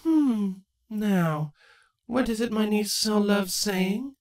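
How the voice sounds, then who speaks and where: A man's voice: high voice, slow, darkish tone, pitched above the performer's own voice. A man voicing an ancient, scheming changeling queen, smug and taunting with false sweetness, in a dramatic fan fiction reading. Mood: gloating.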